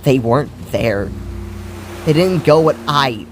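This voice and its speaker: A man acts out a wounded grown daughter in a fantasy drama. The delivery is strained and halting, full of guarded bitterness and weariness. The pitch is mid-range for a man, and music plays clearly behind. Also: lively intonation. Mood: strained sorrow. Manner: strained, halting, sarcastic when angered.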